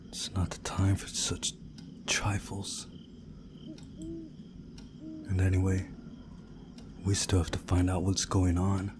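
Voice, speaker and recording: A man reading a visual novel aloud as a playthrough host, in a measured, deliberate way with pauses. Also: low voice, background noise. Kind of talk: scripted narration of a visual novel with commentary.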